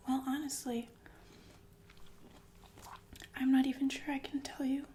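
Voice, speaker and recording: A woman playing a calmly menacing, sadistic killer nurse in an intimate, deliberate ASMR roleplay full of pensive pauses. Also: high-pitched, a little hiss or hum. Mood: menacing musing. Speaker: ASMR performer. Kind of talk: ASMR roleplay monologue in whispers.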